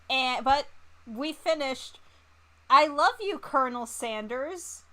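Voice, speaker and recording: A woman streamer reading a game aloud and commenting on it in a playful, animated, exclamatory way. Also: high-pitched. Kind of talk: live game commentary and dramatic read-aloud.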